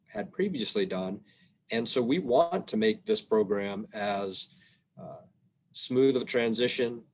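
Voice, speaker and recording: Low-voiced man presenting, a bit halting. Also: a little hiss or hum. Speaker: state revenue agency policy presenter. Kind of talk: informal slide presentation on a conference call. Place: indoors, on a video call. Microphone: video call microphone.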